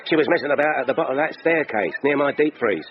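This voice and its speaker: A man with a London accent acting in a radio drama as a gruff, mocking working-class market butcher. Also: mid-range voice, fast talker, lo-fi sound, slight background noise, natural voice. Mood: dismissive. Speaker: actor.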